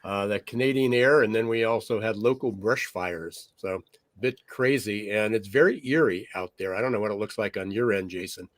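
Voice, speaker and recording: A man co-hosting a podcast, a little raspy today, talking loosely with many fillers and restarts. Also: on the low side, moderate pace, no echo, clean studio sound. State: slightly raspy from smoky air. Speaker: podcast co-host. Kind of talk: conversational podcast monologue.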